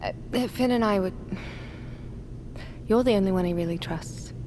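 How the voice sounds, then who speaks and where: A woman's voice: medium pitch, measured pace, natural voice. An actress playing a poised, teasing woman in a sci-fi audio drama, wry in manner, over noisy ambience. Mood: earnest.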